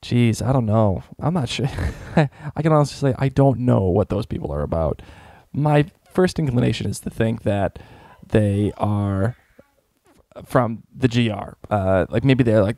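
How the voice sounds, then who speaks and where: A man's voice: on the low side, lively intonation, moderate pace, vocal fry, mellow, dry recording. A man hosting a podcast in casual, informal chat, often hesitating mid-sentence. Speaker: podcast host.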